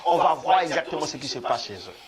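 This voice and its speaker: A man originally from Haiti, co-hosting a talk show and reacting with lively exclamations and quick affirmations. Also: on the high side, a crowd in the background. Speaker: co-host.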